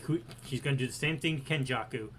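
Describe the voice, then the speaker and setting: A man co-hosting a podcast, chatting casually. He is excitable and profane, and his loudness swings a lot. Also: medium pitch, fast talker, slight background noise. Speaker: podcast co-host. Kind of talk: casual chat.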